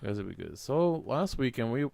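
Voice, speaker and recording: A man's voice: medium pitch, sing-song, fairly quick, gravelly, a little mellow, no echo. A young man co-hosting a casual podcast, laid-back and agreeable, often backing his partner with short yeahs. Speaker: podcast co-host. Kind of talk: casual chat.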